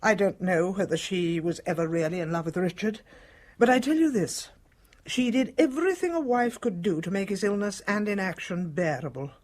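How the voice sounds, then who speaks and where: An older woman playing a dying British matriarch in a radio drama, speaking in a measured, formal, guarded way. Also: mid-range voice, darkish tone, lightly acted. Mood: grave, confiding. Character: composed, dying elderly matriarch with secrets.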